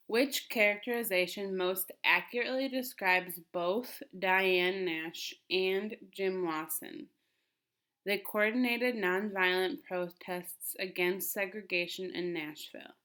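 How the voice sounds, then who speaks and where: A woman's voice: medium pitch, a bit bright. A woman reading questions and answer choices aloud as a teacher.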